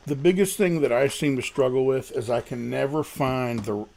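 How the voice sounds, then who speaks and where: A man with a deep voice, a guest musician talking casually and rambling about his recording struggles. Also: moderate pace, creaky, a little mellow. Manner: self-deprecating, rambling, many restarts. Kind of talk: casual livestream chat.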